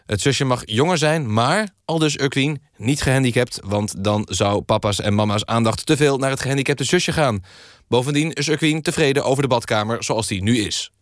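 A man playing an earnest radio news interviewer, deadpan and somewhat halting, in a satirical magazine show. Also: mid-range voice, dry recording, clean studio sound, close to an everyday voice. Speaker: radio host.

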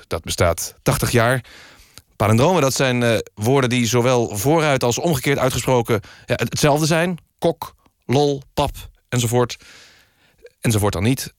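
Deadpan man hosting, dry studio sound. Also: mid-range voice, normal speed, no room sound. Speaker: host of satirical radio magazine. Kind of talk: deadpan satirical news presenting.

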